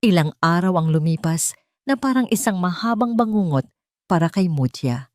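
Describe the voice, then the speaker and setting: A woman's voice: lowish voice, expressive, moderate pace, a little mellow, no room sound, studio quality. A woman with a Filipino accent narrating a dramatic story in a solemn, emotive, scripted style.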